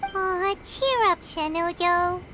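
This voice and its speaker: Very high, childlike fairy sidekick. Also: female voice, slow, slight background noise, exaggerated voice. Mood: encouraging. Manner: chirpy, blunt, expressive. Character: tiny childlike floating fairy companion, cheeky and chatty. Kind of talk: English video game dub acting.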